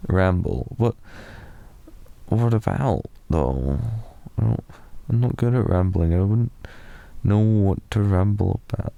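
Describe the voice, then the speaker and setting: A young British man improvising a sleepy boyfriend ASMR roleplay, softly rambling and slipping into whispers. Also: deep voice, slow pace, creaky, pauses often, dry recording, highs cut off. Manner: soft, drowsy, rambling with slips into whispers. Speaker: ASMR performer.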